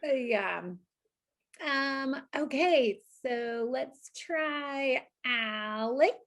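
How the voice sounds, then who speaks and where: A woman with a distinctly high voice talks like a teacher running a question session for children. Her manner is informal, chatty and encouraging, and she moderates in a casual, friendly style. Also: drawn-out words, a bit bright.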